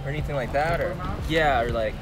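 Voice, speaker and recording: A man's voice: mid-range voice, brisk, heavy background noise. A young man chatting casually as host of a prank vlog, in a hesitant, offhand way. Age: young adult. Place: inside a van at drive-thru windows. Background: loud music.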